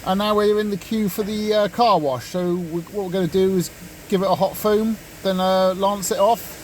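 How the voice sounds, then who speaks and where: A man's voice: high-pitched, fairly even intonation, normal speed, a little mellow. A man chatting casually as he vlogs about his car, with some background noise from cars and surroundings. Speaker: car vlogger. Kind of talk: casual vlog chat.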